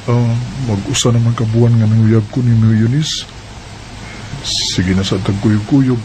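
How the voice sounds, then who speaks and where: A man's voice: lowish voice, fairly even intonation, a little slow, mellow, soft background music, lightly acted, rough recording. A young man acting the lead in a homemade radio drama, playful and laughing when courting, earnest when troubled.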